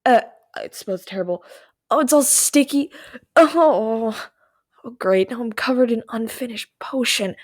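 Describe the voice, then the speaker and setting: A woman's voice: fairly high pitch, measured pace, no room sound, clean studio sound. A woman voicing a mischievous male trickster witch who teaches potion-making in an audio roleplay. The witch snickers, teases and stumbles over hesitant fillers. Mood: flustered, disgusted. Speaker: potion tutor.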